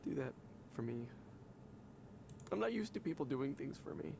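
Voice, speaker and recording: An actor playing a desperate, lonely, emotionally broken man in a video game, speaking in a creaky, hesitant, stammering way. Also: medium pitch, big pitch swings, normal speed, background noise. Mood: fragile, grateful. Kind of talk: emotional dramatic game acting.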